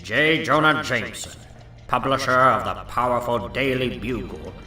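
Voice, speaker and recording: A man's voice: mid-range voice, normal speed, pushed and bright, distant mic, faint music behind. A man playing a portentous comic-book narrator, delivering scripted drama. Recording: roomy echo with faint noise.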